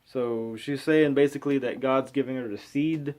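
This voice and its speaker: A man in his thirties reading aloud and commenting casually, with an informal, halting delivery and frequent self-corrections. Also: mid-range voice, darkish tone. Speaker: vlogger reading and commenting on scripture. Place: small room at home. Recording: clearly noisy amateur home recording.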